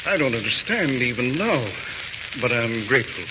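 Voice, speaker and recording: An actor playing an earnest, excitable professor and father in old radio drama style. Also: mid-range voice, moderate pace, close to an everyday voice. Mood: awed, earnest. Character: fatherly professor, excitable, anxious, middle-aged man. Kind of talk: 1940s radio drama acting. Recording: old muffled radio recording, clear noise.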